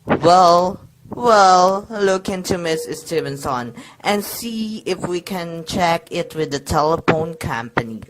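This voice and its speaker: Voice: mid-range voice, expressive, slow pace, crowd noise. An actor playing a bored, dismissive police desk officer in a radio play, laconic and placating. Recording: clearly noisy recording with clicks.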